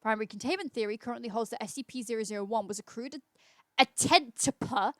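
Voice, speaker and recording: A woman's voice: fairly high pitch, fast, a bit bright, no room sound, clean studio sound. A woman hosting a casual livestream, reading aloud in an informal, chatty way. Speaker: livestream host. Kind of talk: casual livestream read-aloud with chat replies.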